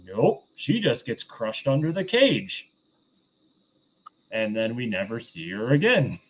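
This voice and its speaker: A man's voice: mid-range voice, very animated, slow pace, husky. Male podcast host, mid-range voice, loose rambling film chat, a bit lo-fi. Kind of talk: casual review chat.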